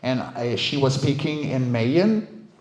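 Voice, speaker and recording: A middle-aged man giving an informal talk about his work as a voice performer, telling anecdotes in an animated, casual way. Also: mid-range voice, takes it slowly. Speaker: voice actor, director and coach giving a talk. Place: indoor event room, live talk. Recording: roomy, echoey live talk recording.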